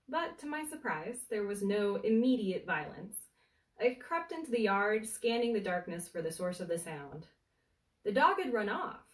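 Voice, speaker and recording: A woman storyteller reads a comic tale aloud in a roomy space, some way from the microphone. Her delivery is deliberate and theatrical, pausing for suspense. The pitch of her voice sits in an average range for a woman. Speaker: bookseller storyteller reading aloud. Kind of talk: animated bedtime story reading of a humorous book. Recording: roomy, echoey, distant from the mic.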